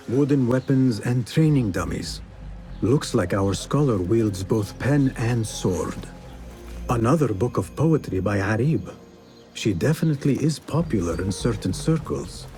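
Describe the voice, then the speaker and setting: An actor playing a calm, watchful young assassin in a video game, speaking in measured, terse observations. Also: male voice, lowish voice, soft background music. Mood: watchful, musing.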